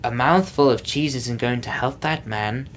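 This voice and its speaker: A storybook reader voicing a puzzled, wary boy quietly muttering his thoughts. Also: mid-range voice, normal speed, slight background noise, close to an everyday voice. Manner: soft, muttered inner thoughts.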